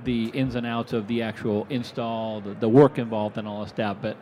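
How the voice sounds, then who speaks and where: A man's voice: fairly low pitch, normal speed, a little hiss or hum. A man in his forties from Venezuela, a guest telling his story in a casual, rambling way on a live expo stage. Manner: rambling, animated, acts out quoted voices. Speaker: guest, product manufacturer.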